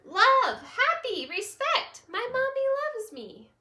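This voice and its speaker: Woman voicing shrill, lively little critter schoolchildren. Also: slow pace, reverberant, heavily acted. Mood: eager, innocent. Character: upset young animal schoolchildren, squabbling and eager.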